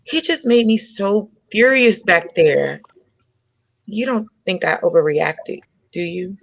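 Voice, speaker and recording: A woman's voice: medium pitch, expressive, slow, creaky, dry recording, full character voice. A woman playing a frank, independent young woman who speaks candidly and conversationally in a comedy table read. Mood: frustrated, confiding. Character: frank, independent young American woman, restaurant owner's daughter. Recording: dull lo-fi microphone sound.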